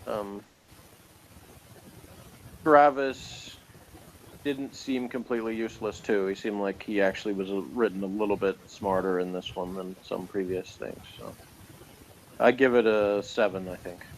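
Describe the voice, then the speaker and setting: A man chatting casually as a review co-host, speaking in a hesitant, halting way. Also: lowish voice, steady tone, a little hiss or hum, poor audio. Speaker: podcast co-host reviewing audio dramas.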